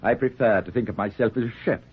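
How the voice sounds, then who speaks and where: An actor playing a genial, pompous middle-aged husband turned chef, with florid speech, in a 1940s radio play. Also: male voice, on the low side, quick pace, husky, phone-quality sound. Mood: breezy, grand. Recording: band-limited 1940s radio recording with music.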